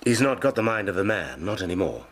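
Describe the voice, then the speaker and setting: An actor playing a deferential but stubborn manservant, formal, dignified and restrained, in a radio drama. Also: male voice, low-pitched, very animated, quick pace, fairly bright tone, full character voice. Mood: pleading.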